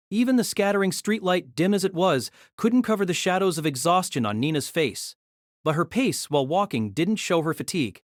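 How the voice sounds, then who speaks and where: Lively man reading a novel aloud. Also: fairly high pitch, dry recording, studio quality. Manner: expressive storytelling with shifting tone. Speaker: narrator.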